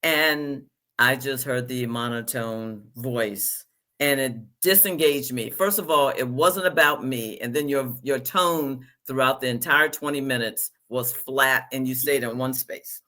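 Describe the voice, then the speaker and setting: A woman's voice: extremely low pitch, measured pace, bright, forceful tone. A woman hosting a podcast interview. She chats casually and tells self-deprecating stories about herself. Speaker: podcast host.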